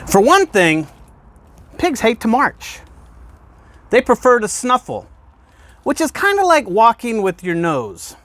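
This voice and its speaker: A man with a fairly high voice reads a picture book aloud for children. His delivery is very animated, rising and falling widely. He puts questions to the young listeners and sets off key phrases with emphatic pauses. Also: no echo. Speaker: guest reader, fire department deputy chief.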